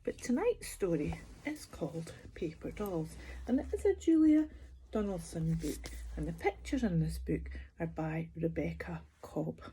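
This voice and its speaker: A woman reading a children's picture book aloud as a bedtime storyteller, expressive and sing-song, putting questions to young listeners. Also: lowish voice, unhurried, husky, low-quality recording.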